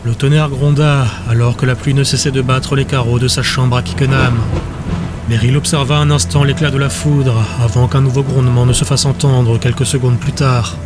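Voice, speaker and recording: A man's voice: mid-range voice, little pitch movement, moderate pace, noisy background. A man giving scripted narration for a fantasy audiobook.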